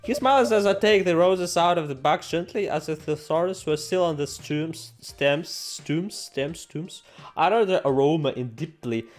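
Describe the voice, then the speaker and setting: A man's voice: a little high, measured pace. A man doing game playthrough commentary, reading the story text aloud with theatrical, sarcastic asides. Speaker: gaming video host. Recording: music behind the voice.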